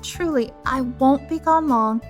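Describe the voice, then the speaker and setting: A woman's voice: high-pitched, measured pace, soft background music. A woman playing a tender, reassuring mother talking to a child in a family audio drama.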